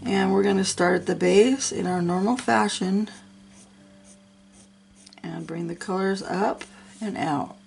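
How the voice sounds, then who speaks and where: A woman's voice: medium pitch, unhurried, creaky, long pauses, darkish tone, a little hiss or hum. A middle-aged woman, a little sick and sniffling, chatting casually and encouragingly as she talks through a coloring tutorial. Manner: chatty and encouraging, talks to herself while working.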